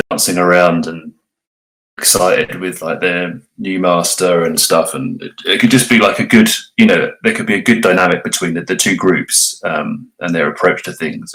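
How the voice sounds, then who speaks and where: A man's voice: deep voice, fairly bright tone, low-quality recording. A man speaking as a guest in a casual online discussion, thinking his points through as he goes. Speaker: cast member, guest. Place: remote online voice call.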